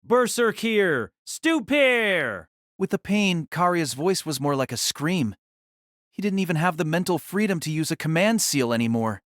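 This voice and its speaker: A man's voice: on the high side, dry recording, clean studio sound. A man narrating an audio drama in a dramatic, scripted style that rises and falls with the action.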